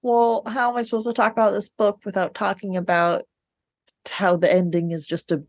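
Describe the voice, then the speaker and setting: A woman chatting casually as a podcast co-host, telling things in an animated, digressive way. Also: medium pitch, normal speed. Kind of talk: casual book discussion chat.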